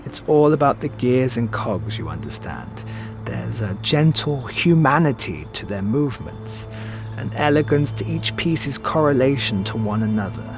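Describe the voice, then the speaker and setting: An actor playing a suave, sardonic middle-aged master thief and mentor in an audio drama, with florid, theatrical phrasing. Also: male voice, medium pitch, sing-song, normal speed. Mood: wistful, musing. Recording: music behind the voice.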